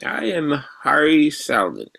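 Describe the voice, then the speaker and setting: A man playing a grave, self-assured old mathematician in a radio drama, measured and probing. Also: a little high, wildly swooping pitch, gravelly, a bit bright, a little hiss or hum, exaggerated voice. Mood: grave, brisk. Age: older.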